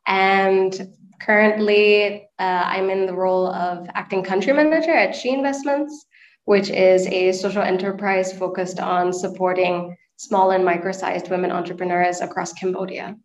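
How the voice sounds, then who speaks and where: A woman's voice: medium pitch, fairly even intonation, moderate pace. A woman in her late twenties from Sri Lanka, giving conversational answers as a podcast guest. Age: late 20s. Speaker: podcast guest, nonprofit and social enterprise manager. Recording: echoey room, dull lo-fi call audio.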